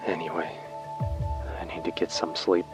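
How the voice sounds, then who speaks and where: A man's voice: sits low, completely flat delivery, moderate pace, loud music behind the voice, close to an everyday voice. A man playing a weary, uneasy colonist recording a subdued, brooding field message in a sci-fi audio drama. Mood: tired, tender.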